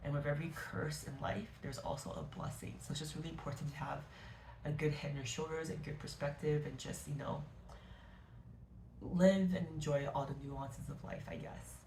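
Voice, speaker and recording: A woman's voice: deep voice, animated, normal speed, darkish tone, a little hiss or hum. Gushing woman reviewing books. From Canada. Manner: gushing, rambling, self-correcting. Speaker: book vlogger. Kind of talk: casual book review to camera. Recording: echoey room.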